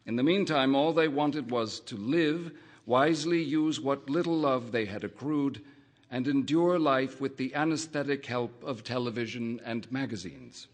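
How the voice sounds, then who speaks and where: A man reading a first-person literary short story aloud, in a measured, wry narrating manner. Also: mid-range voice. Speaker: audiobook reader.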